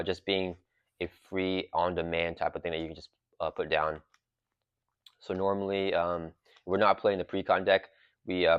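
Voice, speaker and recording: A man with a very low voice talks loosely through an unboxing, full of "uh" and "um". His throat is sore and he has a cough drop in his mouth. The recording is dry and slightly dull. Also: no echo. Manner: relaxed, rambling, many fillers. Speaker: channel host. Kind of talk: casual unboxing and card game talk.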